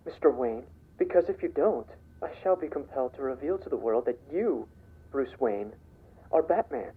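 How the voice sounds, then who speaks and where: An actor playing an insistent, coolly threatening mystery villain with formal speech, in old radio serial style. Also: male voice, medium pitch, expressive, moderate pace, slightly rough. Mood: coolly menacing. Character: menacing, insistent male mystery visitor, smoothly blackmailing villain. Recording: tinny old wire recording playback.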